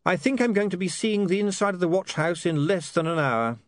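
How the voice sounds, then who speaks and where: A man voicing a defiant young newspaperman with sharp, quick comebacks. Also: sits high, lively intonation, no echo, pitched above the performer's own voice. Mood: rattled.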